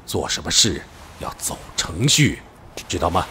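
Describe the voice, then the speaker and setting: A man's voice: deep voice, very animated, a little slow, sharp tone, slight background noise, heavily acted. A man playing a stern, cautious middle-aged police officer and father, admonishing and emphatic, in an audio drama. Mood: reproachful. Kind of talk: Chinese audio drama acting.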